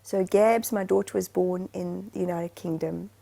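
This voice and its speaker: A woman from Zimbabwe chatting casually on a podcast. Her voice is gravelly and mellow. She rambles in an animated way and restarts her sentences often. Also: on the low side, moderate pace. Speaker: podcast co-host. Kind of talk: casual chat.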